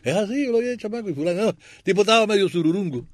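A man's voice: high-pitched, animated, very fast, no room sound. An older man with a Cuban accent, a grandfather, co-hosting a casual talk show and sharing easy-going memories with a laugh. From Camagüey, Cuba. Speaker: co-host. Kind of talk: casual radio chat.

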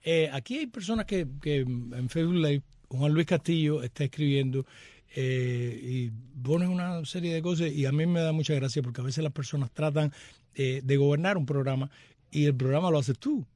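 Un hombre conduce un programa de radio en vivo y lee mensajes de oyentes con humor. Su voz es algo aguda. Suena seca y cercana, sin eco de sala. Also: male voice, fairly high pitch, moderate pace, dark tone, no room sound. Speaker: radio show host. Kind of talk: live radio chat, reading listener messages.

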